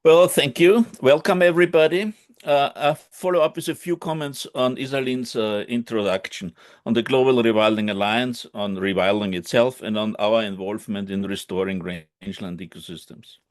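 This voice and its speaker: A man's voice: mid-range voice, normal speed. A man presenting in a webinar over a video call, explaining with lots of "sort of" and "actually". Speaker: organization co-founder and director.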